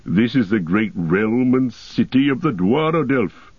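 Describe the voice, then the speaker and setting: A man's voice: mid-range voice, lively intonation, unhurried, slightly rough, dry recording, highs cut off. A man voicing a proud dwarf of ancient lineage, stately and rhythmic, in a fantasy audiobook. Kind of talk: chanted verse in fantasy audiobook.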